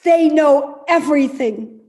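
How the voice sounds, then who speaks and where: A woman's voice: high voice, slow, darkish tone. A woman acting in a play reading as a stubborn, frail old mother, defiant and accusatory. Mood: accusing. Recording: echoey room.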